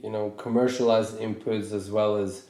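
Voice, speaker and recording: A man from Norway in his thirties, hosting a podcast in a reflective, casual way, pausing and restarting his sentences as he thinks aloud. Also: on the low side, fairly even intonation, dark tone, roomy sound. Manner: thoughtful, hesitant with many restarts. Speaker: podcast host.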